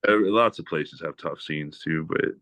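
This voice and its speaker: A man from New England with a deep voice, talking casually as a podcast guest with lots of "like" and "you know". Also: a little fast, no room sound. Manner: relaxed, rambling with many "like" and "you know" fillers. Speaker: guest guitarist.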